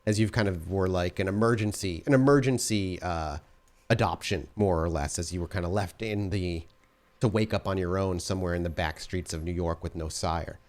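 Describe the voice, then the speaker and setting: Storyteller, male, low-pitched, relaxed and explanatory. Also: moderate pace. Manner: relaxed and explanatory, with frequent fillers. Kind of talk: narrating a tabletop horror game.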